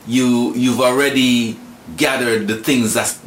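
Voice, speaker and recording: A Jamaican man in his sixties speaks slowly and reflectively, often repeating words as he works out what he wants to say. His voice sits at an ordinary pitch. It is recorded in an echoey room with a faint ambient hum behind it. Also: steady tone. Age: 60s. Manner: thoughtful, repeats words while searching. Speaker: guest. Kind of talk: reflective storytelling.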